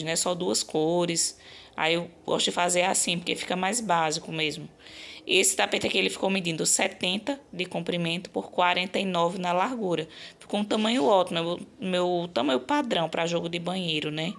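Low, creaky Brazilian woman, chatty. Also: fairly bright tone. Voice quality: slightly hoarse, gravelly. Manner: chatty, explanatory, frequent check-in tags. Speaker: crochet channel host. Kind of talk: casual craft vlog, showing and explaining pieces.

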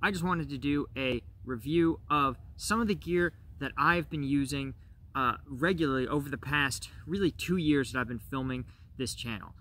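A man's voice: mid-range voice, moderate pace, fairly bright tone. A man in his forties hosting a casual gear review to camera, crouched in a forest and talking conversationally with fillers. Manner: conversational, frequent "right?" and fillers. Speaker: gear review channel host. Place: outdoors in a bare winter forest.

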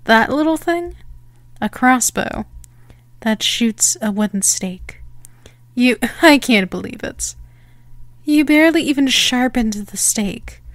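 A woman's voice: a little high, expressive, dry recording. A woman playing a smug, predatory female vampire in an ASMR roleplay, teasing and condescending as she draws out her words. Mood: teasing, mocking. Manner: mocking, condescending, drawn-out delivery. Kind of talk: slow ASMR roleplay monologue.

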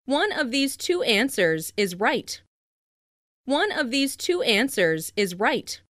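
Female voice, slightly high, very slow and deliberate, lively intonation, dry studio sound. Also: no room sound. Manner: careful, deliberate enunciation. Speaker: listening practice speaker. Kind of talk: slow read example sentences.

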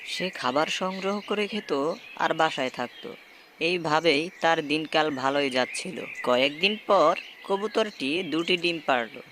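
A man narrating a children's animated folk tale in a scripted storytelling style. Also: a little high, measured pace, noisy background.

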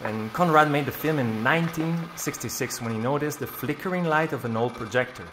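An actor playing an obliging art museum worker in an audio drama, explaining things informally and a little hesitantly in naturalistic dialogue. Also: male voice, mid-range voice, a little fast, background noise, lightly acted. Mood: informative.

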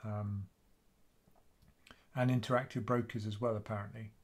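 A man in his fifties with a British accent, hosting a live investing Q&A stream from a small home room, chatting casually in a quiet voice. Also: on the low side, little pitch movement, normal speed. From United Kingdom. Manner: casual, chatty, soft-spoken. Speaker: investing channel host.

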